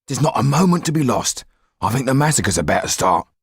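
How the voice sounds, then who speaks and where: A man voicing a rough, loyal, urgent male friend in an audiobook, with a husky rasp and blunt delivery. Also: medium pitch, very animated, a little fast, no room sound, clean studio sound, voice pushed up.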